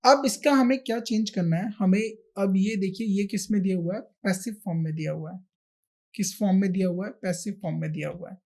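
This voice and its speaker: A man's voice: high voice, fairly quick, darkish tone. A man with an Indian accent teaching a grammar lesson, explaining step by step with repetition and rhetorical questions. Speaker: English grammar teacher for exam candidates. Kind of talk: explanatory lesson, switching between Hindi and English.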